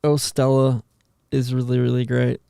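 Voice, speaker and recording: A man's voice: medium pitch, level tone, moderate pace, gravelly, dark tone, smooth, dry recording, clean studio sound. A man hosting a casual podcast, chatting and bantering in slangy speech with plenty of "dude". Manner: slangy, says "dude" often. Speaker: podcast host. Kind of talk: casual banter about music with co-hosts.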